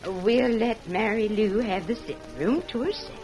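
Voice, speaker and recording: A woman's voice: mid-range voice, normal speed, a little mellow, faint music behind, close to an everyday voice. An actress playing a domineering middle-aged farm mother in an old-time radio western, imperious and theatrical. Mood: bossy, scolding. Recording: noisy vintage radio recording.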